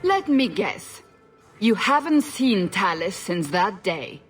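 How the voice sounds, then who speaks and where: Stern interrogator, music behind. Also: female voice, medium pitch, sing-song, slow. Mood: stern, skeptical. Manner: pointed, skeptical. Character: stern, skeptical female interrogator. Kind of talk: video game cutscene acting.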